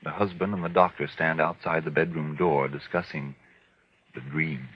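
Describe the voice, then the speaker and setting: A man's voice: extremely low pitch, fairly even intonation, normal speed, noisy background. A man announcing in 1940s radio style, formal and emphatic, with a polished sales delivery. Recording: muffled old radio recording.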